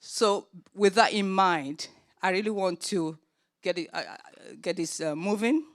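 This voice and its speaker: A woman with a Nigerian accent chairing a forum panel, speaking earnestly with frequent filler pauses. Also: mid-range voice. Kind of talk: opening remarks at a forum panel.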